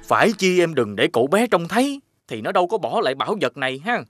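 A man voicing an excitable, soft-hearted little demon in an animated fairy tale, with a childlike manner. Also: fairly high pitch, quick pace, music in the background. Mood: earnest.

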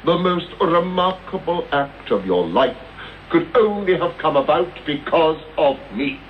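High-pitched, arrogant British detective. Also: male voice, expressive, measured pace. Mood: insistent. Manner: pompous, precise, rhetorical. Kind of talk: radio play acting. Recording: dull, hissy old radio tape recording.

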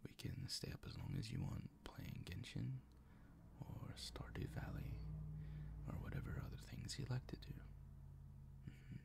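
A man whispers tenderly in an intimate boyfriend roleplay, close to the mic. His voice is lowish and very gravelly, full of fry. He speaks slowly and soothingly, gently coaxing the listener. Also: wildly swooping pitch. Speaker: ASMR roleplay performer as a comforting boyfriend. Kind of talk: improvised boyfriend ASMR roleplay. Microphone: close ASMR microphone.

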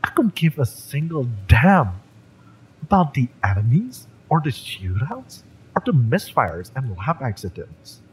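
A man playing a fiery, devoted mercenary in love, tough yet tender, in a romantic audio roleplay, with emphatic, halting delivery. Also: mid-range voice, very animated, slight background noise, voice pushed up, poor audio. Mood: impassioned, pleading. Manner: impassioned, emphatic, halting delivery with breathy drops.

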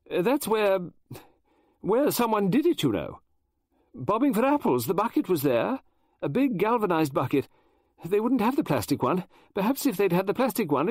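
A man's voice: high voice, darkish tone, dry recording, studio quality, pitched above the performer's own voice. A man voicing a flustered, faltering lady novelist. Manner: breathless, hurried, faltering. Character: shaken, flustered middle-aged female novelist.

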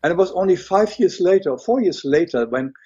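A man's voice: high voice, animated, a little fast, mellow, husky, lo-fi sound. An older man from Germany with a German accent, speaking as an interview guest, hesitant with many fillers and restarts. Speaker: guest, illustrator and writer. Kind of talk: interview conversation.

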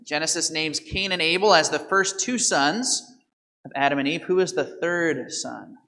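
A man's voice: on the high side, normal speed, bright, forceful tone. A man in his thirties, a priest reading the gospel and then preaching a homily in a large church, quizzing the congregation. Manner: asks the congregation questions and quizzes children. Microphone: microphone at the altar, far camera.

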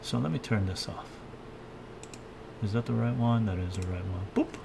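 An older man talks casually as he cooks, musing aloud and replying to viewers' comments. His voice sits on the low side. The sound carries clear background noise from the kitchen. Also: normal speed. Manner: chatty, thinks aloud, reads chat and answers it. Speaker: cooking live streamer. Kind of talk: casual live-stream chat while cooking. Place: home kitchen, indoors. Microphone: fixed camera mic, moves around the kitchen.

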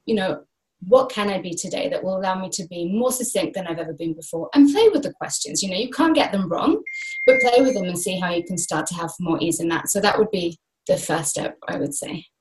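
A woman's voice: on the low side, a little fast, rough recording. A woman in her thirties, a guest speaker, talking casually and animatedly over a laptop video call from a small room. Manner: animated, gestures widely with both hands. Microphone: laptop webcam on a video call.

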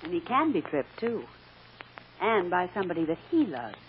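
An actress playing a lawyer's loyal, gentle female aide, tactful and explanatory, in an old-time radio drama. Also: on the low side, sing-song, moderate pace. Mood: gentle, serious. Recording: muffled, hissy old radio recording.

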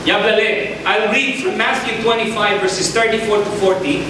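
High-voiced Filipino pastor in echoey hall. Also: male voice, steady tone, normal speed. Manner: switches between English and Tagalog-Cebuano, emphatic, asks congregation to respond. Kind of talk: sermon. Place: large church hall, indoors. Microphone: handheld mic at a pulpit, filmed from far. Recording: echoey hall from far off with noise.

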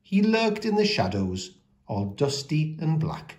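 A man reading a children's picture book aloud as a storyteller, with expressive, deliberate delivery of the rhymes. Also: a little high, slight background noise.

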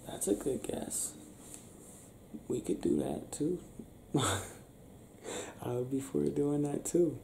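A young man doing an improvised ASMR boyfriend roleplay, talking softly and affectionately in a breathy, teasing, near-whispered way. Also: mid-range voice, lively intonation, takes it slowly, long pauses. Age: young adult. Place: small bedroom, lying on a bed indoors. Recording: roomy sound with faint background noise.

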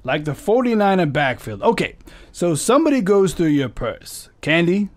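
An actor playing a friendly, gruff police lieutenant in a radio drama, talking in brisk, slangy, wisecracking tough-guy lines. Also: sits high, sing-song, a little mellow. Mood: bantering. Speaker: police officer. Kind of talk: 2009 re-creation of 1950 radio drama. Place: recorded live, San Francisco.

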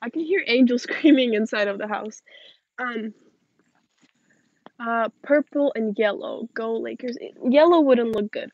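A young woman in her late teens, a native Russian speaker, talks in a fairly high voice. She chats informally as a livestream host fielding questions. The sound is dry and slightly dull, captured outdoors at arm's length. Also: a little mellow, no echo. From Moldova. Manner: informal, chatty. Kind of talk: casual chat, reading and answering viewer questions. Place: outdoors in a backyard garden. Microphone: phone or camera at arm's length.

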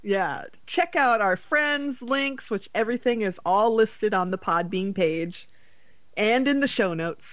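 A woman's voice: medium pitch, lively intonation, a little slow, no echo, narrow, tinny audio. A woman co-hosting a podcast, chatting casually through the show's sign-off. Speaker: podcast host.

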